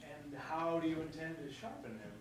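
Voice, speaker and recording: A man's voice: a little high, normal speed, lightly acted. A man playing a wry courtier to a king in a staged verse comedy, speaking theatrically. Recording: echoey room, far from mic.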